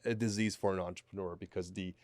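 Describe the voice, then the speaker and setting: A man's voice: low voice, fairly even intonation, fast talker, a little mellow, no echo. A man in his late twenties speaking as a podcast guest, reflective and repeating words as he thinks, on a studio mic. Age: 20s. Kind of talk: podcast conversation. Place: small studio. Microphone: studio mic on boom arm, headphones.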